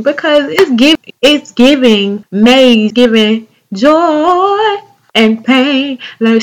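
A woman's voice: sits high, fairly bright tone, low-quality recording. A young woman from North Carolina with a Southern accent, hosting a casual solo podcast in a chatty, candid, drawling way. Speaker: podcast host.

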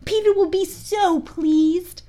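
A woman voicing a tiny, jealous, bossy fairy in a storybook, giving shrill, urgent commands. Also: fairly even intonation, slow, mellow. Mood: urgent, gleeful.

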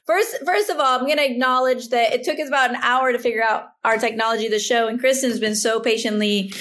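A woman's voice: on the high side, steady tone, fast talker, fairly bright tone. A woman hosting a podcast interview over a video call, chatty and giggly with many fillers and restarts. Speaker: podcast host. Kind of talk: casual interview chat.

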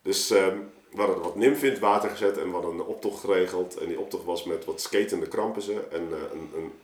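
A man telling anecdotes in an informal, animated way, as in a casual podcast chat. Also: low voice, fast talker. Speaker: podcast guest, artist telling of a project. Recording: roomy, echoing room sound.

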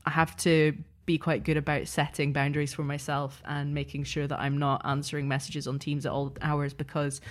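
Female guest, deep-voiced, conversational and a little hesitant. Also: flat delivery, normal speed, few pauses. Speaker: interview guest, audio drama producer and editor. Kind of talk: casual interview conversation.

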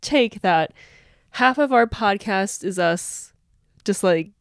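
A woman's voice: mid-range voice, lively intonation, slow, dry recording, clean studio sound. An American woman co-hosting a podcast with casual, chatty, informal and jokey banter. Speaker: podcast co-host.

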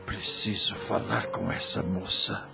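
A man playing a wealthy, nostalgic gentleman in his fifties in a radio drama, with formal, halting speech. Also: low-pitched, fairly even intonation, vocal fry, music in the background, exaggerated voice. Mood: urgent.

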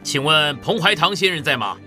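A man's voice: a little high, animated, moderate pace, over music, lightly acted. An actor playing a big, hearty, plain-spoken man in a Mandarin audio drama, with an emphatic manner. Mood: polite.

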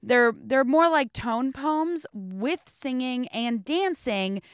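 A woman's voice: high voice, lively intonation, slow, smooth, dry recording, studio quality. A woman co-hosting a podcast, chatting casually and enthusiastically about a film. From North America. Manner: enthusiastic, emphatic. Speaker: podcast co-host. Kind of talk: casual film review chat.

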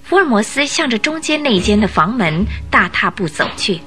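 A woman giving expressive, suspenseful scripted narration for a detective radio drama. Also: on the high side, moderate pace, soft background music.

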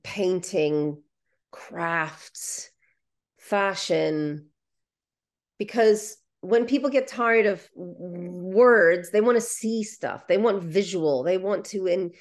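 A woman's voice: on the low side, takes it slowly. A young adult woman whose first language is Ukrainian, speaking earnestly as an interview guest and often restarting her sentences. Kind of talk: conversational interview.

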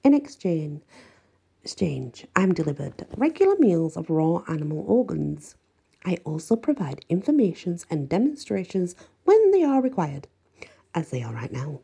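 A woman reading an audiobook, voicing a smug, unbalanced ancient male mummy with slow theatrical delivery. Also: fairly low pitch, big pitch swings, gravelly, mellow, breathy edge, exaggerated voice. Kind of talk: audiobook character voice.

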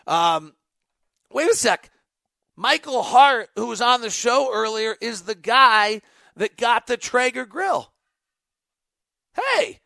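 Bright, high-pitched man talking sports. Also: animated, takes it slowly, gravelly, long pauses, no echo, studio quality. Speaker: sports show host and radio announcer. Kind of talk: live unscripted sports talk with host-read ad.

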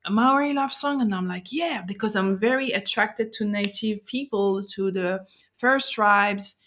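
A woman with a French accent talks in a relaxed podcast conversation. She pauses, restarts and hunts for the right word, and her voice sits at an ordinary middle pitch. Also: slight background noise. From French and Algerian. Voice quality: hesitant, searching for words, laughs often. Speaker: podcast guest. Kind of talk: casual chat.

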